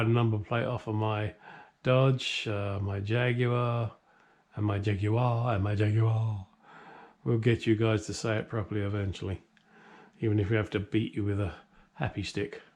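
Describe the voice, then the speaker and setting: A man's voice: on the low side, steady tone, measured pace. A middle-aged man with a British accent hosting a workshop vlog, talking casually and ramblingly in a jokey, self-deprecating, blunt way. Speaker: host of a classic car workshop vlog. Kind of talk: casual, rambling talk to camera. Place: home workshop.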